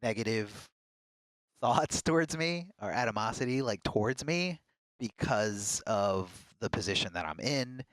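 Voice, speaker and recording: A man's voice: on the low side, dry recording. Earnest man, dry close sound. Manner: earnest, candid storytelling. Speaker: fighting game commentator, podcast guest. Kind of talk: casual podcast conversation.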